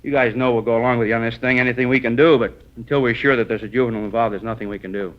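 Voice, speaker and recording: A man's voice: medium pitch, steady tone, rapid-fire, lightly acted. A man acting an easygoing juvenile bureau sergeant in a 1950s radio police drama, offhand and wry. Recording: dull vintage radio recording.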